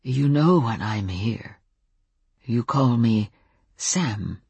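A woman voicing an old male werewolf in an audiobook, speaking slowly and thickly in halting phrases with a Welsh accent. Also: husky, no echo, heavily acted. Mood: almost shy. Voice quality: low, hushed, slow and thick. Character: old male werewolf, slow, menacing yet almost shy. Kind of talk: audiobook character dialogue.

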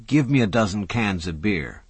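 Man doing tired scientist, husky, dry, exasperated. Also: fairly low pitch, animated, normal speed, no room sound, pitched above the performer's own voice. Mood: weary.

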